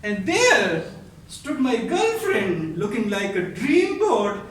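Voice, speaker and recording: A man telling a story as a speech to an audience, with a dramatic, drawn-out delivery full of pauses. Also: high-pitched, huge pitch swings, fairly bright tone. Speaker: contest speaker. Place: echoey room at a speech contest. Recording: roomy echo, distant mic, faint noise.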